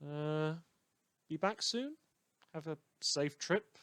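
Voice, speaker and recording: A performer playing a mild, earnest radio host in a fan audio drama, talking to listeners in a hesitant, rambling way with hums and pauses. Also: mid-range voice, expressive, a bit bright, clear, resonant tone, no echo. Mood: tentative signing off.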